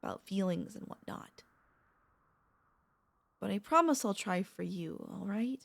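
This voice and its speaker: A woman playing a possessive, doting royal vampire mistress in an intimate ASMR audio roleplay, speaking in a tender, teasing, halting way with a creaky voice. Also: medium pitch, animated. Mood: vulnerable, tender. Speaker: voice actor and vtuber.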